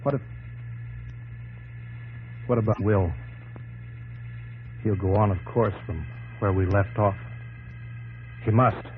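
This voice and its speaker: A man's voice: lowish voice, slow, long pauses. Muffled noisy old radio recording, earnest young american guy, an inventor, thoughtful, 50s radio play. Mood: uneasy, reflective. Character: Orville Wright, earnest young aviation pioneer, thoughtful and uneasy. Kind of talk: 1950s radio drama acting.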